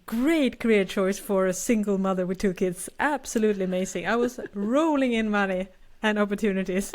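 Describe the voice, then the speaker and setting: A woman's voice: mid-range voice, fairly quick, a little mellow. Swedish woman in her fifties, chatty. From Sweden. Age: 50s. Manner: chatty, self-deprecating, laughs often. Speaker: podcast co-host. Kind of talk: casual podcast chat.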